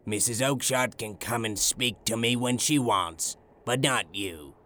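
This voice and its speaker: Man acting a gruff, angry shopkeeper over background noise. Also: sits low, very animated, very slow, exaggerated voice. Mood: angry, dismissive. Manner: shouting, curt, impatient. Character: tall, angry male goose seller, gruff and dismissive. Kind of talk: dramatised audiobook acting.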